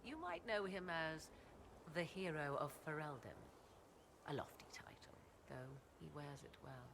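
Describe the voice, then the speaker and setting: A woman's voice: sits low, animated, measured pace, gravelly, noisy background, exaggerated voice. An actress playing a proud, aloof female witch and mother in a fantasy video game cutscene. She speaks in formal, archaic diction with a haughty, dry manner.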